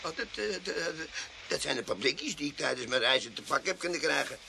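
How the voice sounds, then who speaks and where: Radio play casting: a boastful, whining vagrant war veteran, highish creaky voice, pleading and stammering, faint noise on the recording. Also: male voice, animated, fast, bright, forceful tone, exaggerated voice. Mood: nervous, evasive. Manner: stammering, pleading, self-pitying. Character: shifty, whining male tramp, boastful self-styled war veteran. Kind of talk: radio drama acting.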